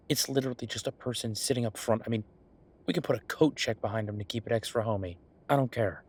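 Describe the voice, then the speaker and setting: Man acting an easygoing, husky chef. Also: mid-range voice, lightly acted. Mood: earnest, casual. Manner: conversational, musing, explanatory. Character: easygoing male chef planning restaurant business with his partner. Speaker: voice actor in audio roleplay. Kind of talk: one-sided roleplay acting, casual chat.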